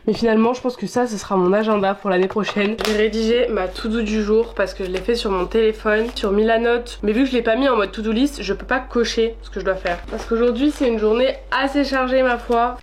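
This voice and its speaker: A woman's voice: fairly high pitch, steady tone, fairly quick, hardly any pauses, a little hiss or hum. A young woman from France vlogging at home about her day, chatty and upbeat, with a slight cold. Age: young adult. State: has a cold. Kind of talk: casual vlog narration.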